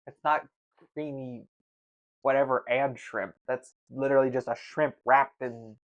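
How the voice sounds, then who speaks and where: A man chatting casually on a livestream in a halting, grumbling manner, often repeating his words. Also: medium pitch, steady tone, lo-fi sound. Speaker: streamer. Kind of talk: casual chat while tasting food on a livestream.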